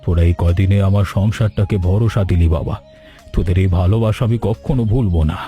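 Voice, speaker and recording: A man plays an old village father-in-law in a cartoon tale. His tone is dark and relaxed. He talks with earnest, fatherly affection over light music. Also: low voice, brisk, natural voice. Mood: grateful, fond. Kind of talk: cartoon story dialogue.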